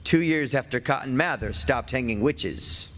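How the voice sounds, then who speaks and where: A man's voice: mid-range voice, normal speed, lightly acted. An actor playing a witch's centuries-old husband, a learned, matter-of-fact man who tells his story wryly and conversationally in an old-time radio drama. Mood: wry, reminiscing. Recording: reverberant stage hall, faint music.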